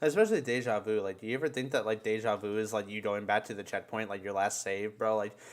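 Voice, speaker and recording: A man hosting a live stream, chatting casually with friends in an animated, slangy way with lots of swearing. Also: lowish voice, fast talker. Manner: animated, heavily profane, slangy. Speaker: live stream podcast host.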